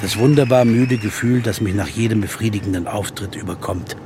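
A man's voice: fairly low pitch, fairly quick. A man playing a jazz pianist in a radio drama, earnest and sometimes angry, over background music. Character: jazz pianist, wry and dogged. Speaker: narrator and lead. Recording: music bed behind voice.

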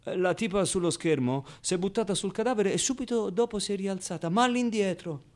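A man's voice: high voice, a little fast, a little mellow, natural voice. A man playing a streetwise, wry and wounded ten-year-old orphan boy in a theatrical radio monologue, talking in slangy, colloquial bursts and muttered asides. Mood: agitated, amazed. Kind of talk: theatrical radio monologue, first-person storytelling.

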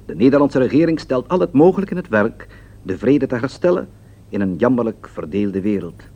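Solemn radio correspondent, swooping intonation. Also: male voice, medium pitch, sing-song, fairly quick, mellow. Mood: formal, measured. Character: solemn male foreign radio news correspondent. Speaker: news correspondent. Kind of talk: scripted news report in a radio play. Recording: dull lo-fi radio sound.

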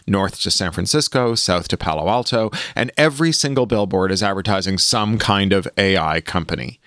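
A man with a lowish voice speaks as a solo podcast host, articulate and a little wry. The sound is close and dry, with very little room in it. His delivery sits between chatty updates and reading his own column aloud. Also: fairly bright tone, no echo.

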